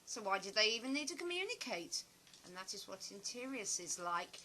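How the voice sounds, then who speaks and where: A woman playing a chatty, opinionated, nostalgic stage actress in an audio play, with a dramatic, expressive storytelling manner. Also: mid-range voice, brisk, a little hiss or hum. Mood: nostalgic, earnest.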